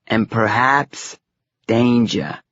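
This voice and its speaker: A man's voice: mid-range voice, big pitch swings, moderate pace, breathy edge, no echo, dull audio, voice pushed up. A man voicing a small, solemn, self-important fortune-teller woman with a thick, old horror-film Romany accent, making melodramatic pronouncements in a story. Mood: foreboding. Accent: thick Romany, Hollywood-horror Eastern European.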